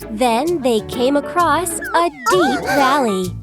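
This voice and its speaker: Slow, high-voiced woman narrating a fairy tale. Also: over music. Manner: expressive, clearly paced storybook delivery. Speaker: storyteller.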